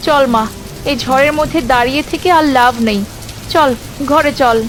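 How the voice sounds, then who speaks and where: A woman's voice: sits high, normal speed, slight background noise, lightly acted. A woman voicing a poor, anguished village mother in a cartoon, with a plaintive, lamenting delivery. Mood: worried. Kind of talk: melodramatic cartoon dialogue.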